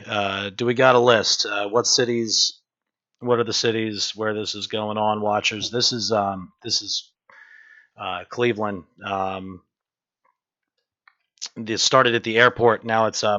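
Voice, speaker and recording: A man with a lowish, bright voice hosts a casual live news stream. He thinks aloud in a halting way. The audio is dull and lo-fi.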